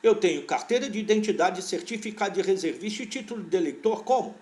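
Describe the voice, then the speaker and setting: Older man with a fairly high voice. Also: lively intonation, a little mellow. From born in Portugal, raised in Brazil. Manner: unhurried, rambling recollection with frequent restarts. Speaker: veterinary parasitology professor, interview guest. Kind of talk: relaxed conversational storytelling.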